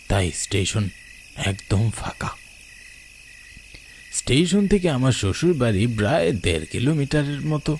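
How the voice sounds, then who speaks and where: A man's voice: mid-range voice, darkish tone. A man voicing an older villager who recounts a ghost encounter from his youth in a suspenseful storytelling manner for a cartoon. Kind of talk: dramatic ghost story narration. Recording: noisy night ambience with chirping crickets.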